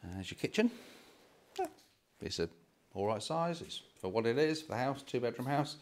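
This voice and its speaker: A man's voice: mid-range voice, sing-song, moderate pace. A British man in his forties explaining things casually to camera as a property vlog host, recorded on a handheld phone indoors in an empty house. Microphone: handheld phone at arm's length.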